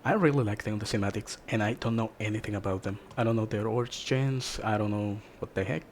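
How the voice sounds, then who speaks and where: A man's voice: lowish voice, fast, slight background noise. A man hosting a reaction, talking in a casual, chatty style. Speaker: reaction host.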